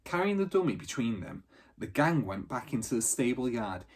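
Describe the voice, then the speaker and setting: A man narrates a children's adventure story like a teacher reading to a class. His voice is slightly rough and husky at a middle pitch, with expressive, dramatic stress on phrases. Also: normal speed.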